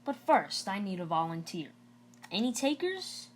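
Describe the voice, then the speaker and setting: A woman's voice: mid-range voice, sing-song, a little slow, a little hiss or hum. A woman voicing a brash, stocky male middle school gym coach from a storybook, addressing students in emphatic, instructing phrases. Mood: brisk, instructing.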